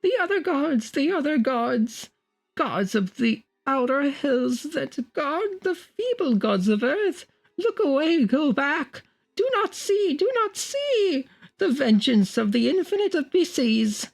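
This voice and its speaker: A woman voicing a proud, fanatical male prophet in a shrill, grandiose, archaic declamation for a horror audiobook. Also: very animated, slow, vocal fry, heavily acted, poor audio. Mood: terrified screaming. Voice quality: shrill, high-strung. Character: Barzai, proud fanatical male prophet, exultant, wildly shouting. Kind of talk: dramatic audiobook character voice.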